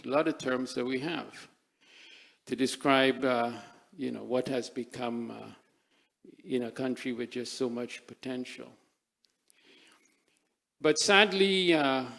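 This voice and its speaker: Gravelly older man giving a reflective talk. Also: medium pitch. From Trinidad. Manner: reflective, with frequent fillers and restarts. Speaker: panel speaker. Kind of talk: conference talk. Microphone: microphone at a live event.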